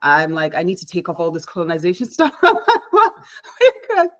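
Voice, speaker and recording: A woman from Nigeria whose first language is Igbo, giving a measured, reflective talk on a panel, often restarting her sentences. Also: fairly low pitch, wildly swooping pitch, lo-fi sound. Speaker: panel speaker, researcher.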